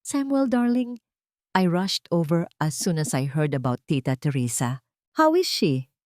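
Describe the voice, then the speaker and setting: A woman voicing a young, wealthy, scheming fiancée whose sweet voice hides sharp, haughty questions. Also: lowish voice, very animated, measured pace, no echo, studio quality. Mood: sweetly probing. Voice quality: sweet-sounding but cutting. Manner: mixes in English, refined and haughty.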